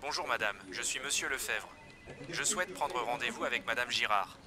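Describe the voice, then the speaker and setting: A man reading everyday French dialogues for learners, with clear, measured scripted delivery. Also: medium pitch, animated, natural voice.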